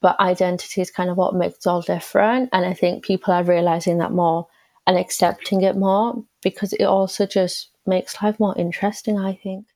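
Brief: young English woman, podcast guest, lowish pitch, chatty with many fillers, dry close sound. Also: little pitch movement, normal speed, mellow, no room sound. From England. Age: young adult. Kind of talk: casual conversational answers.

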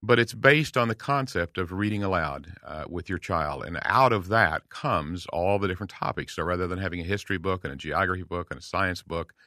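A man's voice: low voice, a little fast, hardly any pauses, sharp tone, no echo, studio quality. An American man in his sixties talking conversationally as an interview guest, explaining things in a folksy way. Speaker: podcast guest, veteran homeschool dad and speaker.

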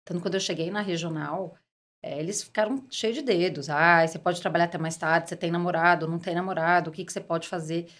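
A woman's voice: lowish voice, quick pace. A Brazilian woman in her forties telling career stories conversationally as an interview guest, repeating words for emphasis and acting out quoted remarks. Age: 40s. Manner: animated storyteller, emphatic repetition, quotes dialogue. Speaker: podcast guest, corporate executive.

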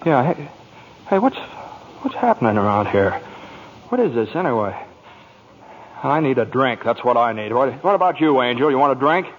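An actor playing a despairing, sarcastic family man in an old-time radio drama, with a halting, folksy, stammering way of talking. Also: mid-range voice, big pitch swings, lightly acted. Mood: confused. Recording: muffled, noisy old radio recording.

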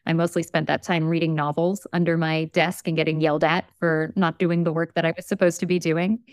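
A woman professor in her forties, raised in the United States, speaking in an articulate, measured way with emphasis in an interview. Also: sits low, little pitch movement, no echo. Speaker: English professor. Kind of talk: reflective interview talk.

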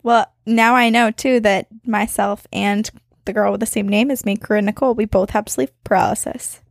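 Woman, mid-range pitch, casual podcast co-host, animated storyteller, wry, dry room-free sound. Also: normal speed, gravelly, no echo. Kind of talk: casual paranormal podcast chat.

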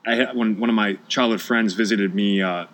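A man with a somewhat low voice hosts an interview. He lays out his points thoughtfully and checks in with the guest as he goes. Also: fairly quick, a bit bright. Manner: thoughtful, builds points with "right?". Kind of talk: conversational interview.

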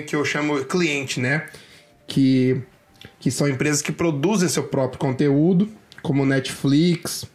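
A young Brazilian man, talking straight to viewers in casual, slangy Brazilian Portuguese and giving career advice. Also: medium pitch.

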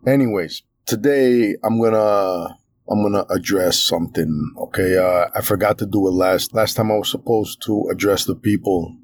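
A New man hosts his own podcast in a casual, rambling monologue, leaning on fillers as he talks with earnest passion. His voice sits very low and has a husky, slightly rough grain. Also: moderate pace, darkish tone. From New York, USA. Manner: passionate fan talk. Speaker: podcast host.

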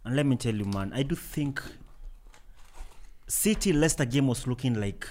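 A man's voice: medium pitch, expressive, moderate pace, darkish tone. A man with a Kenyan accent co-hosting a casual football talk, arguing his points in informal banter. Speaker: football podcast co-host.

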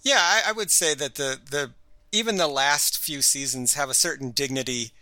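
A man's voice: medium pitch, moderate pace, pushed and bright, no echo. A man co-hosting a casual podcast chat about an old sitcom, talking in a chatty way with frequent restarts. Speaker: podcast co-host.

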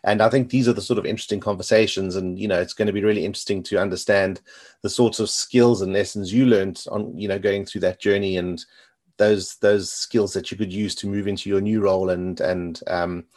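A man's voice: low-pitched, steady tone, brisk, a little mellow. A middle-aged man hosting a podcast, asking long rambling questions full of "you know". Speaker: podcast host. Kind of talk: interview questions.

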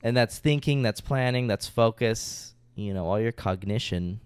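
A man's voice: fairly low pitch, moderate pace, clean tone, no room sound. A young man chatting casually as a podcast co-host, talking informally and swearing freely. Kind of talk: casual chat.

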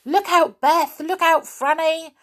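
A woman voicing an eager, mischievous young boy in a storybook, calling out excitedly. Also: medium pitch, slow pace, pitched above the performer's own voice, heavily acted. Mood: shouting. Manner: excited, exclaiming.

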